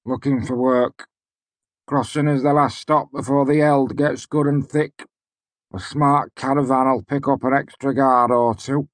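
A man's voice: medium pitch, fairly even intonation, measured pace, dry recording, voice pushed up, natural voice. A man voicing a weary, bruised male mercenary who grumbles sourly in a storybook reading. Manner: sour, grumbling.

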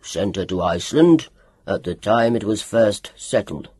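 A reader voicing a gruff, stern older male doctor who speaks in a curt growl. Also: low voice, lively intonation, measured pace, darkish tone, no room sound, studio quality, voice pushed down, heavily acted.

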